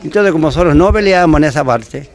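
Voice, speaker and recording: An older man with a Chilean accent, a former footballer recalling a match in halting, repeated phrases. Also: fairly high pitch, expressive, some chatter behind. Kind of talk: archive interview recollection.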